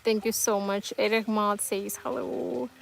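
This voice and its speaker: A woman whose first language is Tamil talks slowly and gently to her live viewers, pausing often and restarting her sentences. Her voice sits at an ordinary pitch, and a faint hiss of noise runs under it. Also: steady tone, vocal fry. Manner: hesitant, many fillers and restarts, gentle and reassuring. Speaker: livestream host. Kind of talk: casual live-chat monologue, reading comments.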